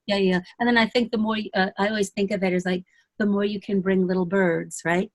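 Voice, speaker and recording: A woman's voice: mid-range voice, fairly even intonation, a little fast, no room sound. Woman, webinar host, hesitant, playful, lots of fillers, dry close recording. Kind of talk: casual webinar interview.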